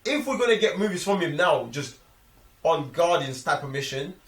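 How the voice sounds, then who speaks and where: Young man, London accent, animated delivery, slangy casual chat, roomy echo, a little distant from the mic. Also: a little high, moderate pace. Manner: slangy, often seeking agreement. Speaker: film review podcast host. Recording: echoey room, slightly off-mic.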